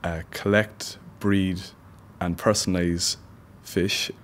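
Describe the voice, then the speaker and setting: Man, team leader; low voice, halting, faint background hum. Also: flat delivery. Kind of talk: casual interview answers.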